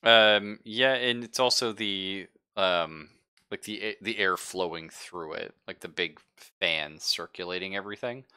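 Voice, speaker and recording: Bright-voiced, deep man running a game. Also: clear, resonant tone. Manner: conversational, halting, thinking aloud. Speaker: game master. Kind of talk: narrating a live tabletop roleplaying game. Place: live-streamed game session.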